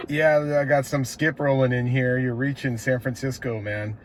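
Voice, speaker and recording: A man's voice: medium pitch, steady tone, moderate pace. An American man working as a CB radio operator, making station calls and chatting casually in a laid-back, slangy way. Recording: clear background radio noise.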